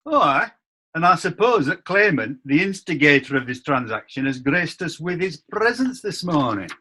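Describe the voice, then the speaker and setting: An actor playing a wry, curious judge. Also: male voice, a little high, big pitch swings, moderate pace, pushed and bright, breathy edge, exaggerated voice. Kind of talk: amateur audio drama acting.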